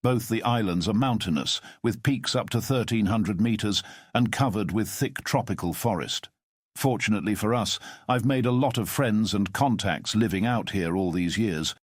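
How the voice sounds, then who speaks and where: A man voicing a calm, commanding middle-aged former spy who speaks in a measured, formal way. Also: on the low side, no echo, clean studio sound, natural voice. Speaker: storyteller. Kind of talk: audio drama storytelling.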